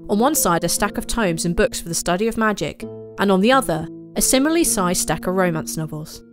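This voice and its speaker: A woman's voice: mid-range voice, expressive, brisk, music in the background. A woman narrator reading a scripted story.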